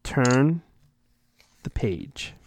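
A man's voice: mid-range voice, big pitch swings, very slow, a little mellow, no echo. A man hosting a podcast, reading a storybook in a theatrical, mock-dramatic way and breaking off into rambling, sarcastic asides. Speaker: podcast host.

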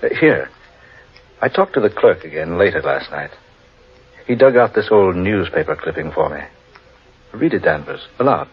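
Genteel gentleman, very raspy, lively intonation, 1940s radio drama, muffled recording. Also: low-pitched, moderate pace, long pauses, exaggerated voice. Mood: earnest, intrigued. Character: eager, intrigued English gentleman fond of eerie tales.

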